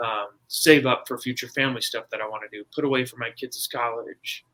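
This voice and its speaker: A man's voice: medium pitch, steady tone, brisk, a bit bright, rough recording. An American man talking casually as an interview guest, making his points in an emphatic, repetitive, colloquial way. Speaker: guest author. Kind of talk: casual conversational explaining.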